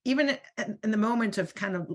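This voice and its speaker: A woman's voice: mid-range voice, a little fast. A woman speaking in an online panel discussion, thoughtful and searching, with many restarts and fillers, heard over a video call. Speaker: roundtable speaker.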